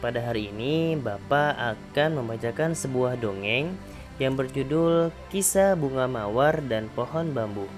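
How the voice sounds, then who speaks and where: A male primary school teacher reading a children's fable aloud in a calm, clear voice for a remote class. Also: mid-range voice, unhurried, faint music behind. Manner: calm, clear storybook narration.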